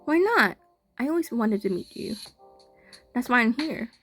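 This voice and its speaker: A woman's voice: on the high side, expressive, measured pace, a bit bright, husky, faint music behind, full character voice. A woman playing an eerie, over-friendly and insistent mysterious stalker, reading coaxingly from a visual novel script. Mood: eager.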